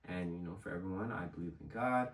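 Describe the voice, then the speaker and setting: A young man with a slightly raspy voice, hosting a casual motivational vlog in a conversational, encouraging way. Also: low voice, quick pace. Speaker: host of a motivational video series. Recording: echoey room, distant microphone.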